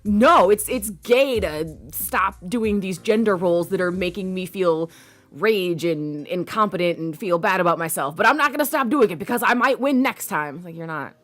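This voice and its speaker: A woman's voice: fairly low pitch, moderate pace, fairly bright tone, soft background music. A woman co-hosting a podcast, chatting casually and thinking aloud with lots of fillers. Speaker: podcast co-host. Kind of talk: casual chat.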